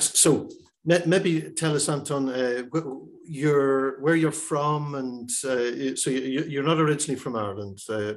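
A middle-aged man hosting an interview over a video call, warmly hesitant with many pauses and restarts. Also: mid-range voice. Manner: halting, many fillers and restarts, encouraging. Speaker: host. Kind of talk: interview host. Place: home room.

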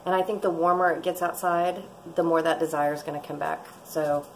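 A woman's voice: low voice, steady tone, a little fast, a little hiss or hum, low-quality recording. A woman, chatting casually and rambling through a cooking vlog in her home kitchen, recorded by a camera close by. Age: 40s. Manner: chatty, rambling, laughs often. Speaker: vlogger. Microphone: fixed camera at close range.

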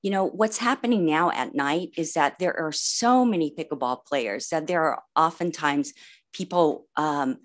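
A woman's voice: sits low, moderate pace. A woman speaking her comments to a public meeting.

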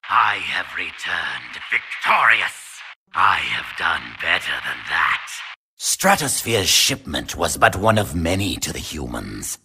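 A vain, treacherous robot villain from a video game. The voice is piercingly sharp and very raspy, with a screechy edge. The delivery is theatrical and sneering, swinging wildly in pitch. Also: male voice, full character voice. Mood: servile, scheming.

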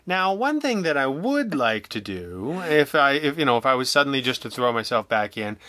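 A man in middle age chats casually in a bright, smooth voice. He circles his thoughts with hesitant, rambling phrasing. The sound is dry and close. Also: mid-range voice, big pitch swings, normal speed, no room sound. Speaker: audio drama creator, interview guest. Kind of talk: casual podcast conversation.

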